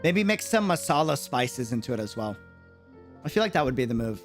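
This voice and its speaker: A man's voice: medium pitch, sing-song, fairly quick. A man chatting casually and musingly on a game livestream in slangy speech, with game music behind him. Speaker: game streamer.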